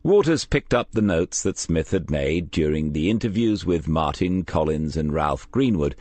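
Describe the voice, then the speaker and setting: A man's voice: low voice, animated, normal speed, a little mellow, no room sound. A man reading crime fiction as a scripted audiobook narration.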